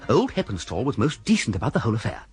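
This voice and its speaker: An actor playing an excitable, amiable, dim young gentleman with an upper-class English accent, narrating and talking in a radio comedy. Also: medium pitch, rapid-fire, soft background music. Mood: chatty narrating. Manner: posh, exclamatory period slang.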